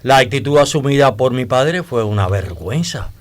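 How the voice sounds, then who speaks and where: An actor playing a courteous, flattering gentleman newcomer in a historical radio drama, speaking formally and expressively. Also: mid-range voice, moderate pace, close to an everyday voice. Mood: earnest.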